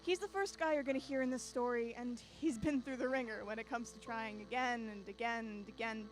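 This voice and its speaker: A woman's voice: a little high, brisk, faint music behind. A woman narrating scripted introductions as host of a radio drama anthology, addressing listeners like a theatrical storyteller.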